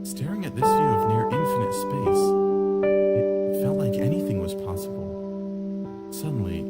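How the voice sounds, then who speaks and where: A man's voice: medium pitch, very animated, a little slow. A man reading first-person visual novel narration aloud in a wry, reflective way. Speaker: let's play host reading aloud. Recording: guitar music behind the voice. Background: plucked guitar music.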